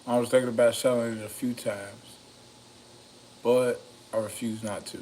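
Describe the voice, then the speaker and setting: A raspy-voiced man rambling casually about microphones, slow and meandering, with many ums and a slightly loaded, loose delivery. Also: mid-range voice, little pitch movement, darkish tone, a little hiss or hum. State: a bit intoxicated. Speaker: home studio vlogger reviewing microphones. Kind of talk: rambling casual talk to camera.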